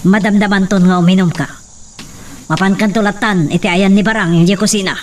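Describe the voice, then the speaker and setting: A woman's voice: medium pitch, fairly even intonation, a little fast, close to an everyday voice. A woman acting a melodrama character in Ilocano-accented radio-style drama, on a distant, faintly noisy recording. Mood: commanding. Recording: distant mic, faint background hiss and insects.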